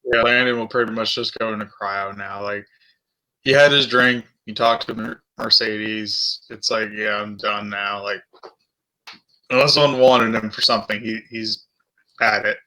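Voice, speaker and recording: A man's voice: on the low side, fairly even intonation, moderate pace, bright, forceful tone, poor audio. A man playing a tabletop role-playing game, chatting casually and describing what his character does.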